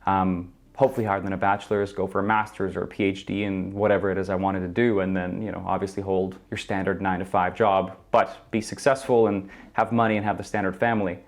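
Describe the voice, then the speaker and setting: Man, 20s, from Ukraine, low voice, thoughtful interview guest. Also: little pitch movement, fast, few pauses. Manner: earnest, thoughtful, with frequent ums. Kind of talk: reflective interview answers. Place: indoor studio.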